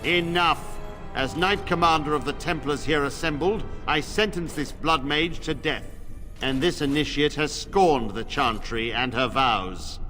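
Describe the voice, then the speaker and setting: An actor playing a stern, suspicious templar knight commander in a fantasy game, formal, commanding and accusatory. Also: male voice, fairly high pitch, measured pace, natural voice. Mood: furious. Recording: reverberant game dialogue with music.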